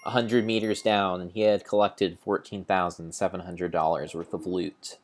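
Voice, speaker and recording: A low-pitched man narrates gameplay as if it were a grand epic tale. His delivery is theatrical and solemnly overblown, made up as he goes, with deliberate pauses and the odd corrected word. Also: little pitch movement. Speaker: narrator of a video game playthrough. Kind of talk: mock-epic dramatic storytelling, improvised.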